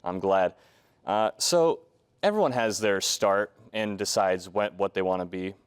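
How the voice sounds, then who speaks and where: A young man with a low voice hosts an interview. He asks formal, prepared questions earnestly, with a slightly nervous edge. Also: unhurried, clear, resonant tone. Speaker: host, student interviewer.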